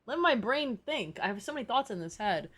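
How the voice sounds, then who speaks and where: A young adult woman giving casual live game commentary in a slangy, expressive, giggly way. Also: fairly high pitch, brisk. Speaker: game streamer.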